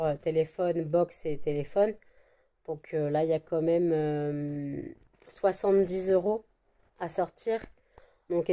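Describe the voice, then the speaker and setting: A woman's voice: fairly low pitch, dark tone. A woman vlogging casually at home, talking to her phone camera with a slight cold, rambling with many fillers and restarts. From France. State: still has a cold. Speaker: vlogger, candle maker. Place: at home indoors.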